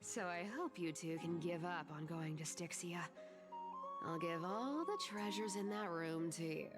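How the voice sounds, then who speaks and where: A woman's voice: lowish voice, a little slow, over music, close to an everyday voice. An actress playing a cocky young female trickster demigod in a video game, teasing and sardonic with folksy turns. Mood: sly bargaining.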